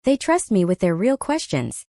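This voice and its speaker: A woman's voice: medium pitch, wildly swooping pitch, normal speed, warm tone, no echo, clean studio sound. A woman voicing an earnest, protective werewolf alpha of about thirty in a romance audiobook, speaking simply and sincerely. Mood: quiet, tender.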